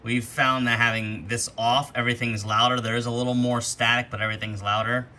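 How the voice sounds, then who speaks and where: A man with a very bright, forceful, mid-pitched voice talks through equipment features in an informal, explanatory way. He sounds like a casual demo host, recorded in an attic with some light background noise. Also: level tone, fairly quick. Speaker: host demonstrating radio gear. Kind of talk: casual hands-on product walkthrough. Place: attic, with rain outside.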